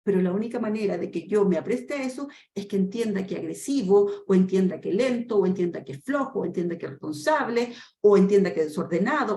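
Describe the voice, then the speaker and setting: A Chilean woman in her fifties giving a webinar lesson in a quick, animated way, acting out short dialogues and asking rhetorical questions. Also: mid-range voice. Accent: Chilean Spanish. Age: 50s. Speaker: trainer. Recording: echoey room with faint noise.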